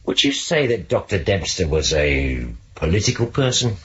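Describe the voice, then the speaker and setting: English detective inspector, old radio play, careful questions with ums, very up and down voice, bit muffled. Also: male voice, sits low, big pitch swings, moderate pace, a bit bright. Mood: probing, measured. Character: male police detective inspector, probing and methodical. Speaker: actor in a radio drama. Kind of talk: 1970s British radio drama acting. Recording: dull, noisy old radio recording.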